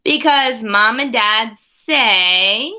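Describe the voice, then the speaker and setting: A woman's voice: a little high, expressive, very slow, pushed and bright, a little hiss or hum. A woman teaching young children, reading a storybook aloud expressively and chatting to them in conversational asides. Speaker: early primary school teacher.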